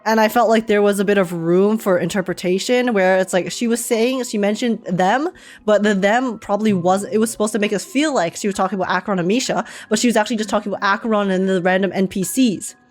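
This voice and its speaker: Girl streamer reacting live, rambly n casual, thinking out loud, bit of music behind. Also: mid-range voice, quick pace. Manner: informal, rambling, thinks aloud. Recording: game music in the background. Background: video game music and dialogue.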